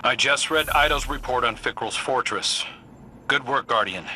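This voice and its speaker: Determined, level-headed sci-fi operative. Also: male voice, mid-range voice, normal speed, pushed and bright, slight background noise, low-quality recording. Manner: plain-spoken, businesslike. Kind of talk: dramatic video game dialogue. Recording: music behind the voice. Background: background music.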